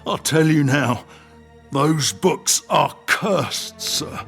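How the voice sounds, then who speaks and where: An actor playing a wary former tramp turned publican in an audio drama, husky-voiced, with a folksy, deferential manner. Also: male voice, fairly low pitch, expressive, a little slow, faint music behind. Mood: frightened.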